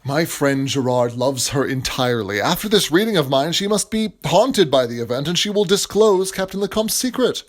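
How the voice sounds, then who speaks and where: An actor playing a self-assured, eloquent gentleman playwright in a period audio drama. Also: on the high side, animated, normal speed, hardly any pauses. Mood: musing. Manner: eloquent, composed.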